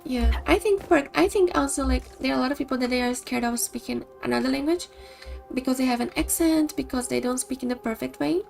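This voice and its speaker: Brief: female streamer, Brazilian Portuguese accent, pitched high, heavy vocal fry, casual and searching. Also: fairly quick, a little mellow, faint music behind. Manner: thoughtful, restarts sentences while searching for words. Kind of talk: casual chat with stream viewers.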